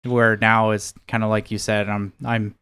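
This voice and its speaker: A man's voice: fairly low pitch, completely flat delivery, normal speed, a bit bright, slight background noise. A man in his thirties with an American accent, co-hosting a podcast in a casual chat, often searching for words as he reflects. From United States, near Seattle. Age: 30s. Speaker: podcast co-host.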